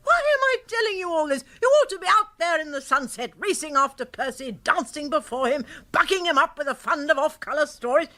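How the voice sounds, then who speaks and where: A forthright upper-class English aunt in a radio comedy. Her voice is high and bright, swooping widely as she briskly bosses and teases with great emphasis. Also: expressive. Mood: teasing, gossipy. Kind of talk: radio comedy drama acting.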